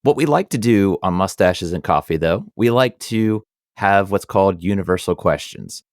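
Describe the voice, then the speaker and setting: A man's voice: sits low, animated, moderate pace, no room sound, clean studio sound. A man co-hosting a podcast, asking questions in a casual, friendly way with short agreeing murmurs. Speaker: podcast co-host.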